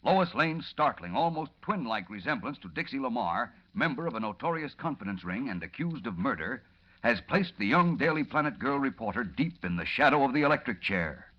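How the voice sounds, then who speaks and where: Male serial narrator, 1940s radio. Grave and theatrical, animated delivery with rough edge, muffled old sound. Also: mid-range voice, fairly quick. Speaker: announcer-narrator. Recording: dull old radio recording.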